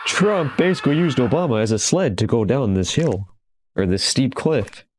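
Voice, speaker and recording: A man's voice: medium pitch, lively intonation, moderate pace, background noise. A man hosting a gaming video, speaking informally as he comments on games and reads their text aloud. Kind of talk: casual game commentary and reading game text aloud.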